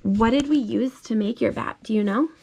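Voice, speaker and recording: Gentle mother reading a picture book. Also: on the high side, breathy edge. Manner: gentle, slow and sing-song, coaxing questions. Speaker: mother reading to her toddler. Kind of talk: bedtime picture book read-aloud. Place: child's bedroom at home. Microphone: phone held above the reader's lap.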